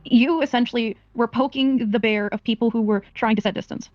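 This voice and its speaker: A woman on a dry, dull-sounding voice call, speaking fast in a slightly high voice. She presses her questions, repeating and restarting her phrases as she pins down details. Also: fairly even intonation, dry recording, faint music behind. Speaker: questioner on a call-in stream panel.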